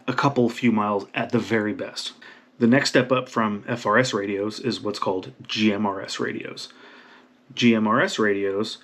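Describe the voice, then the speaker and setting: A man hosting an informal how-to talk, speaking emphatically and often checking in with the listener. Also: lowish voice. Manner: emphatic, checks in with "right?" and "okay?". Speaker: video host explaining radio basics. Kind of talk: informal instructional talk to camera.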